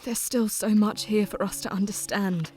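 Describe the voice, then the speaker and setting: A woman's voice: mid-range voice, fairly even intonation, moderate pace, a little mellow, faint music behind, natural voice. An actress playing an exhausted, determined young woman who speaks tiredly and a bit curtly. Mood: weary. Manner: tired, clipped, slightly short-tempered.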